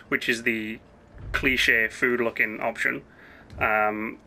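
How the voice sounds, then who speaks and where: A hoarse man who has partly lost his voice, hosting a rambling, chatty let's-play commentary full of asides and self-corrections. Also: medium pitch, sharp tone, slight background noise. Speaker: gaming channel host.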